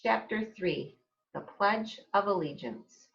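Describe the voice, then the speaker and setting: A slightly muffled, roomy recording of an American woman reading to young children. She takes her time and speaks like a teacher, with lively, expressive pitch and careful explanations. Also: slow pace, creaky, slight background noise. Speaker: teacher reading aloud to second graders. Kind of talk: read-aloud of a children's picture book.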